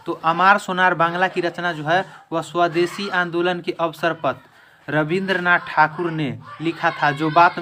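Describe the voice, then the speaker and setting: A man's voice: on the high side, pushed and bright, slight background noise. A man teaching an exam-prep lesson in question-and-answer form, emphatically repeating each answer.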